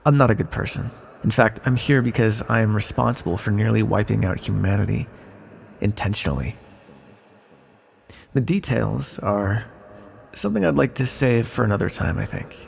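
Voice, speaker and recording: A man playing an ancient exiled villain, a weary, teasing magical being, musing slowly and wryly in an ASMR roleplay. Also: lowish voice, gravelly, slight background noise. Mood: grave, confessing. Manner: slow, musing, wry, theatrical. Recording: long cavernous reverb.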